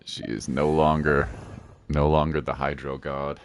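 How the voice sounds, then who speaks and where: A man's voice: rumbling low, flat delivery, a little slow, slight background noise. A man casually chatting and commentating on a game livestream in a laid-back, playful, chatty way. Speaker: live game streamer. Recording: music playing behind the voice.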